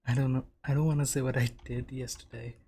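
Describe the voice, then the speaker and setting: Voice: medium pitch, level tone, fast talker, dark tone, husky. A game streamer giving casual reactions over a game, muttering short interjections and reading game text aloud. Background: game music.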